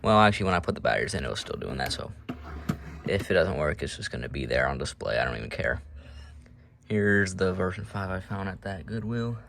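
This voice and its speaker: A man's voice: sits low, sing-song, fairly quick, gravelly, fairly bright tone, a little hiss or hum. A man giving a casual, unscripted show-and-tell as a hobby collector, talking in an offhand, matter-of-fact way with rambling asides.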